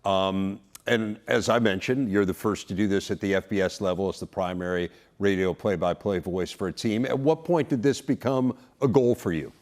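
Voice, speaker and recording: A man's voice: lowish voice, moderate pace, hardly any pauses, a little mellow. An American man presenting and interviewing as the host of a sports studio show.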